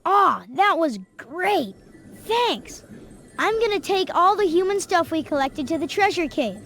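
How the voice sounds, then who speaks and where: A friendly little undersea character in an animated game. The voice is extremely high, with faint noise behind it. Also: female voice, unhurried, full character voice. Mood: grateful, cheery.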